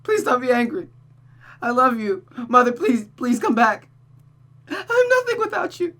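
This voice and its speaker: A woman's voice: high-pitched, sing-song, unhurried, sharp tone, pitched above the performer's own voice, full character voice. A woman voicing a desperate, sobbing young woman who pleads and stammers, read from a visual novel. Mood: pleading, sobbing. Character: Rosa, sobbing desperate young woman devoted to cruel Mother. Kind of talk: visual novel character reading.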